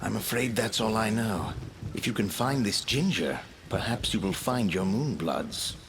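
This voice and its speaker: A man voicing the sorrowful ghost of a baron in a video game, speaking slowly and formally. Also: lowish voice, expressive, slight background noise. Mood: grave, weary. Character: remorseful ghost of a male baron. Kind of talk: dramatic video game voice acting. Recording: loud music and rain behind. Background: game music and rain.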